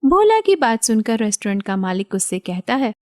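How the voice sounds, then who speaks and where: A woman narrating a scripted animated story as the storyteller. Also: on the high side, moderate pace, no echo, clean studio sound.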